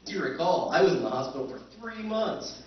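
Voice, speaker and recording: A man's voice: on the high side, expressive, brisk, close to an everyday voice. An actor playing a wry, skeptical planetary surveyor who tells his own tale in a staged old-time radio drama. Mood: wry narrating. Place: convention stage. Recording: echoey stage recording, distant and dull.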